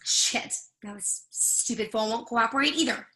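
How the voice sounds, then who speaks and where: A woman's voice: on the high side, normal speed, sharp tone. A woman playing a harried, imperious professor in her fifties in a play reading, demanding and easily exasperated. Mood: indignant.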